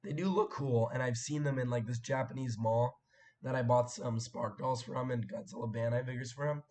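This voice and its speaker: A young man hosting a casual live stream, his voice hoarse and cracking after a sore throat, rambling and clearing his throat as he chats with viewers. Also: mid-range voice, steady tone, normal speed, a little mellow. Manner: rambling, chatty, frequent fillers and throat clearing.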